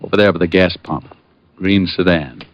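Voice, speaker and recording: An actor playing a wisecracking, cool-headed private detective in an old-time radio drama, with a wry, offhand, playful way of talking. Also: male voice, low voice, normal speed, lightly acted. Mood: watchful, terse. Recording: muffled vintage radio broadcast with music.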